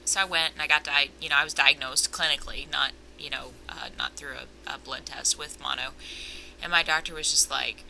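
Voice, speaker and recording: A young woman with a low, sharply bright voice talks casually to her camera, her throat sore and swollen. She rambles and catches herself on tangents. A faint hiss of noise sits behind her. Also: fairly even intonation, brisk, vocal fry, poor audio. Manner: rambling, self-aware, trails off on tangents. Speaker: vlogger. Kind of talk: casual personal vlog.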